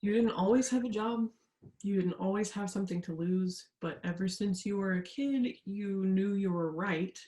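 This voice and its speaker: A woman's voice: mid-range voice, moderate pace, dark tone, close to an everyday voice. A young woman playing an insistent, haunted student searching for the truth in a staged reading, earnest and full of pressing questions. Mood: urgent, probing. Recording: roomy, echoing sound.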